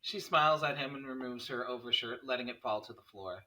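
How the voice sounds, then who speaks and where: A man with a very bright, sharp voice at middle pitch reads out stage directions and scene headings. It is scripted narration for a screenplay table read. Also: brisk, poor audio.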